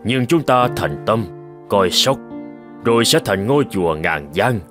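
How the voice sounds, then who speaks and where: A man acting a serene, low-voiced old monk. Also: expressive, music in the background. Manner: measured, serene, gently instructive. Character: calm, forgiving elderly male temple master monk. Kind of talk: cartoon voice acting.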